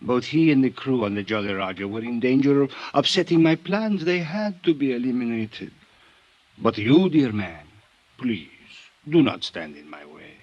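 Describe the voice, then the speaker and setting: A man's voice: medium pitch, expressive, muffled recording, close to an everyday voice. Man playing florid, genteel radio crime boss. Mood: silky menace. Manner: florid, wheedling, formal speech with drawn-out endearments. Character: genteel, scheming foreign crime boss, falsely affectionate, menacing. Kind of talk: 1950s radio drama acting.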